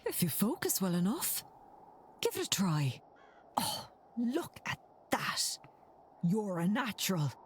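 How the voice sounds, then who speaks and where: An actress playing a poised female mystic in a mystery game, guiding with measured, encouraging instruction. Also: mid-range voice, big pitch swings, slight background noise. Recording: background ambience under game dialogue.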